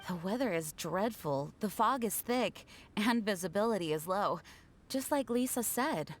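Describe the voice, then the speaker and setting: A woman's voice: mid-range voice, lively intonation, moderate pace, close to an everyday voice. A woman playing an earnest, dutiful young knight commander in a video game, speaking formally and politely. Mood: concerned.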